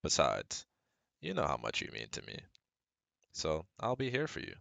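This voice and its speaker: A man's voice: extremely low pitch, wildly swooping pitch, normal speed, creaky, a bit bright, no echo, exaggerated voice. A man playing a caring, protective best friend who gives firm, earnest advice straight to the listener in an ASMR roleplay. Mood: tender, reassuring. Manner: soothing, earnest, instructive, speaking directly to listener.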